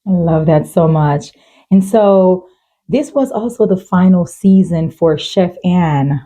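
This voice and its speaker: A woman's voice: fairly low pitch, slow, mellow. A woman hosting a talk show, interviewing conversationally in an encouraging, affirming manner.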